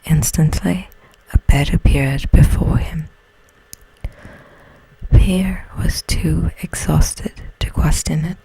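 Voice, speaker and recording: A woman narrating an ASMR bedtime story as a storyteller, in a breathy whisper. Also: low voice, slow pace, mellow, rough recording.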